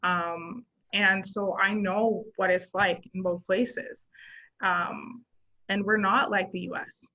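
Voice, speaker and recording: A woman's voice: mid-range voice, steady tone, slow pace. A woman in her thirties from Zimbabwe, a social worker guest, talking earnestly in a casual interview and thinking aloud with many fillers. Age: 30s. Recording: dull lo-fi sound.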